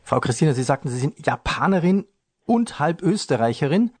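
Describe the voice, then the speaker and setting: A man's voice: fairly high pitch, big pitch swings, a little fast, breathy edge, no room sound. Man moderating a finance podcast. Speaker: radio host.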